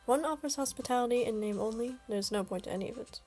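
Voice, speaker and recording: A woman's voice: a little high, darkish tone, faint music behind, close to an everyday voice. A woman voicing a formal, earnest young man. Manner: formal, measured and polite. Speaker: voice reader of a game story.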